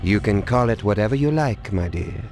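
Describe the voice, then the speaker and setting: A man playing a haughty, smooth-talking Templar villain in a video game, with a condescending, sardonic manner. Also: fairly low pitch, lively intonation, moderate pace, a little mellow, soft background music, natural voice.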